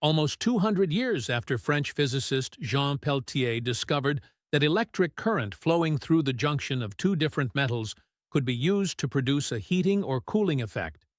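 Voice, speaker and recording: A man doing a scripted read-aloud of practice passages in a measured, formal way. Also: on the high side, expressive, no echo, clean studio sound.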